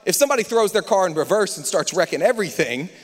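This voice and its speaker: A young adult man from the United States preaching a sermon, moving between loud, lively passages and hushed, confiding ones. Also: sits high, fast talker. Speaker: pastor. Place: large church hall. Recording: church hall reverb.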